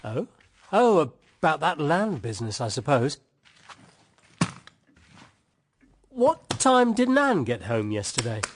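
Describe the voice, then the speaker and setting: An actor playing an upright, principled British husband and businessman in a period radio drama, brisk, formal and emphatic. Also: on the high side, sing-song, slight background noise. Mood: brisk, cheerful.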